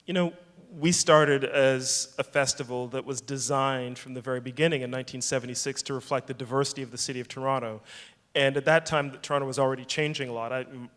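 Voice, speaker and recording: A man speaking at a public fireside chat, thoughtful and measured, who often restarts a phrase before he finishes it. His mid-pitched voice carries a long reverb from the hall around him. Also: fairly even intonation, a bit bright. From England. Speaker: film festival director.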